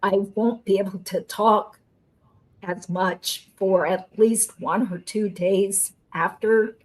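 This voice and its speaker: A woman's voice: medium pitch, steady tone, slow, poor audio. A woman host, a lawyer, chatting casually in an upbeat way and explaining court matters. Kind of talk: casual chat and legal commentary.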